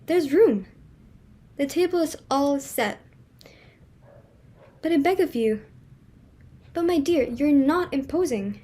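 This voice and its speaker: A woman's voice: high voice, animated, slow, long pauses, dark tone. A woman playing a flustered, imperious society hostess and mother in a dramatic reading of a stage comedy, emphatic and exclamatory. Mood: insistent, fussing.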